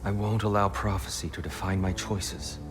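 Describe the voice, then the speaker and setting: A man playing a calm, grave, thoughtful mentor figure in a dramatic video game cutscene, speaking in a measured, questioning way. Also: on the low side, music in the background, lightly acted. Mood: troubled, firm.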